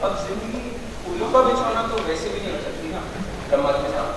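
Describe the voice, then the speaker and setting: A man's voice: high voice, animated, a little fast. A man acting in a stage play as a humble retired accountant turned private detective, an adoring fan who speaks in an earnest, deferential, flowery way. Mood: reverent, flowery. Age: older. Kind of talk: theatrical Hindi stage acting. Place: stage in an auditorium. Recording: distant mic in echoey noisy hall.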